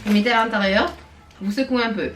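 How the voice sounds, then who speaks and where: A young woman from Réunion, speaking, chats in a laughing, lively way with quick asides while she cooks in her home kitchen for a vlog. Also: medium pitch, over loud music. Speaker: vlogger. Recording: echoey room.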